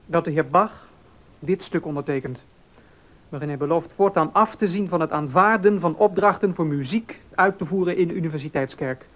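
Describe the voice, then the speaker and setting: A man's voice: fairly high pitch, moderate pace, natural voice. A male actor playing an aggrieved, insistent church musician in a docudrama, formal and indignant in manner. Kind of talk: Dutch docudrama acting. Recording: dull lo-fi recording.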